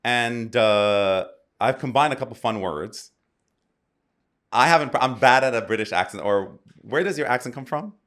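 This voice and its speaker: A man's voice: mid-range voice, normal speed, a bit bright. An American man hosting a casual chat, enthusiastic and rambling with frequent restarts. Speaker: host.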